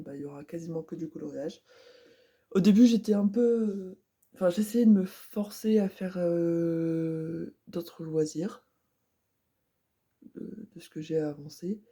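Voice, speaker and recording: Twenties woman vlogger with a cold, stuffed-up and slightly husky, warm dark tone, chatty with lots of "euh". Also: lowish voice. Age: 20s. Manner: chatty, many hesitations. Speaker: craft vlogger. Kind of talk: casual chat.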